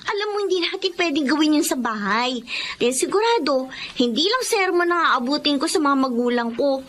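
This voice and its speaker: Casting: Filipino teen schoolgirl, high girlish voice, carefree and headstrong, radio drama. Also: animated, moderate pace, fairly bright tone, slight background noise. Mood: breezy. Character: carefree, headstrong teenage schoolgirl, policeman's daughter.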